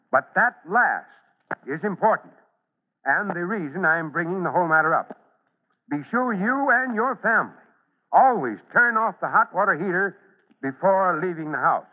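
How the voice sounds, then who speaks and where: An actor playing a brisk, authoritative and fatherly fire chief in an old radio serial. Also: a little high. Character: commanding, caring male fire chief leading a rescue. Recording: muffled old radio recording, long reverb.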